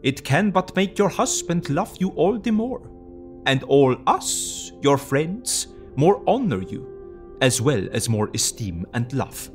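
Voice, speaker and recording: An actor playing a grave, courteous foreign professor who hunts vampires, speaking formally and solemnly in an audio drama. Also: male voice, a little high, big pitch swings, slow, faint music behind. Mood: warmly urging. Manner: formal, solemn, quaintly phrased.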